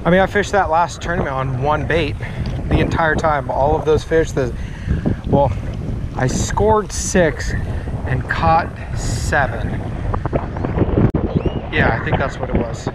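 A man's voice: a little high, slow, pauses often. A young man talking casually while fishing at a pond, upbeat and chatty, recorded outdoors on an action camera. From Texas. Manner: upbeat, chatty, laughs often. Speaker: fishing vlogger. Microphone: action camera on body or tripod. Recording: loud wind noise on camera mic. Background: wind.

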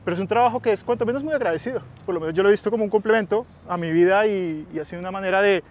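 A man in his thirties from Colombia, a delivery rider, who talks in a friendly way with many pauses and restarts, outdoors on a city street. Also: high-pitched. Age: 30s. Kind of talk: casual interview.

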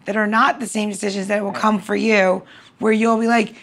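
Creaky-voiced woman in halting casual chat. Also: mid-range voice, flat delivery.

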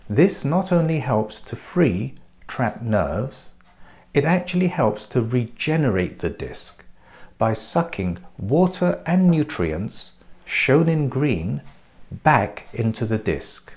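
Slow, lively-toned man explaining. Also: medium pitch. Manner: measured, explanatory, pointing things out. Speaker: clinic practitioner presenting treatment. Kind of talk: scripted promotional presentation. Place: bright clinic treatment room, indoors. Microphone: lapel mic.

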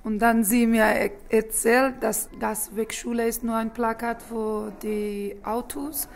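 A woman from Afghanistan, a journalist, speaking halting German in a documentary interview, pausing often with hesitations. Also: on the high side, level tone, creaky, a little hiss or hum. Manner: halting non-native German with frequent hesitations.

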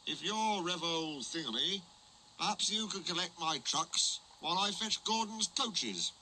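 Brief: clever, patient male engine, curt dry delivery, bright lively voice, as a man puts him on. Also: sits high, measured pace, slight background noise, pitched above the performer's own voice, exaggerated voice, poor audio. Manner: short, dry and innocent.

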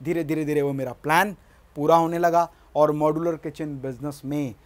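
A man's voice: fairly high pitch, fairly even intonation, moderate pace. Emphatic man coaching on business. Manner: emphatic, gestures at a screen, asks "है ना?". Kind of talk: motivational business lesson. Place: studio in front of a large screen.

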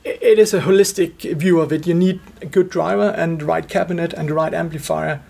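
A man in his forties giving measured technical explanations as an expert in an interview. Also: on the high side, steady tone, vocal fry, darkish tone. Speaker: expert guest, loudspeaker driver engineer.

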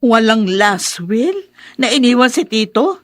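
A woman's voice: fairly high pitch, very animated, measured pace. A woman playing an emotional, conciliatory middle-aged mother in a Filipino radio drama, earnest and pleading, with a Filipino accent. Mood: indignant.

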